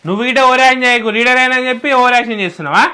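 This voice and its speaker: Young girl, defiant classmate, mocking, bright, slightly high, fast. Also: smooth. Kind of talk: scripted comedy skit.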